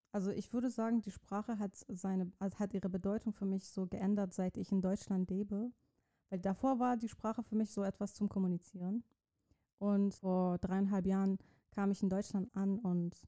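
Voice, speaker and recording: Young Iranian woman, dark tone. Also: mid-range voice. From Iran. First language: Persian. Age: young adult. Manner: searching for words, many restarts. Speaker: interview guest, student writer. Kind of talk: casual interview answers.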